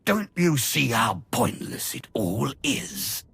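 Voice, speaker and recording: Immortal dark villain, male, very husky, swooping intonation, arrogant, theatrical, game cutscene. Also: mid-range voice, big pitch swings, dry recording, full character voice. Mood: menacing scorn. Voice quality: gravelly, husky. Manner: theatrical, condescending, drawn-out. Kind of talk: dramatic video game acting.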